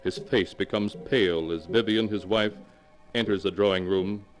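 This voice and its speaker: An actor playing a mysterious keeper of a book of tales, narrating 1940s radio horror in a theatrical, portentous manner. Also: male voice, lowish voice, steady tone, normal speed, close to an everyday voice. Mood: grave storytelling. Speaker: narrator and host. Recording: vintage 1940s radio broadcast. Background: music.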